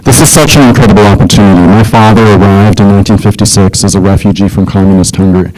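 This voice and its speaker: A man's voice: fairly low pitch, steady tone, quick pace, darkish tone, low-quality recording. A young Canadian man running for mayor, giving an earnest, personal campaign speech with the occasional restart. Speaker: mayoral candidate.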